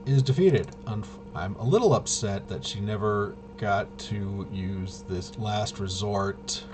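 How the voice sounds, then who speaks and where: A man with a fairly low voice talks casually to his livestream. His delivery is unscripted and halting, wandering through half-finished sentences. A clear noisy hum sits behind his voice throughout. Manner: halting, rambling, often trailing off mid-sentence. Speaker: livestream host. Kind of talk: casual unscripted stream commentary.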